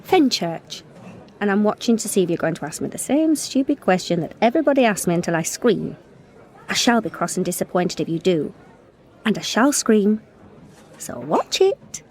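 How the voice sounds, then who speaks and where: An actress playing a forthright, wry young Englishwoman in a radio comedy, brisk, teasing and direct. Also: mid-range voice, sing-song, a little mellow, lightly acted. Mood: wry, firm. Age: young adult. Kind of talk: radio comedy drama acting.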